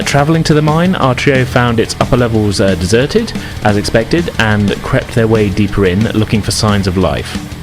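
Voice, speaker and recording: A man hosting and game mastering a tabletop role-playing podcast in an informal, chatty way. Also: mid-range voice. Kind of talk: casual podcast intro and tabletop game narration. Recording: music behind the voice. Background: music.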